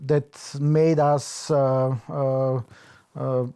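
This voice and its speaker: A man with a Bulgarian accent, reflecting in an interview, pausing often to search for his words. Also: mid-range voice, level tone, dark tone, smooth. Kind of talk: reflective oral history interview.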